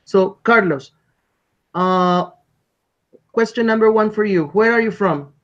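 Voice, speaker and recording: A man conducting an English speaking exam over a video call, polite and measured, repeating his instructions. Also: sits high, a bit bright. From Colombia. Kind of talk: structured spoken exam interview. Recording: dull lo-fi call audio.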